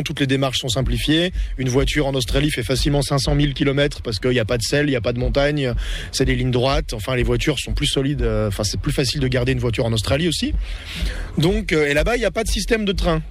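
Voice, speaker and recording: A man guest on a radio interview, explaining in a measured, reflective way. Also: medium pitch, a little hiss or hum.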